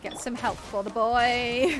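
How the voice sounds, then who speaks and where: A woman's voice: a little high, fairly even intonation, takes it slowly. A woman giving playful, chatty live commentary as she plays a video game. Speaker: game streamer. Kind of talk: casual live gameplay commentary. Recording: game music behind.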